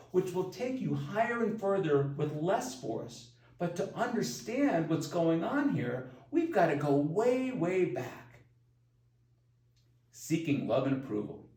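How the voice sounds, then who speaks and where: A man reading his own self-help audiobook in scripted narration, with deliberate, emphatic phrasing and pauses. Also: high voice, a little mellow. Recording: roomy echo, distant from mic.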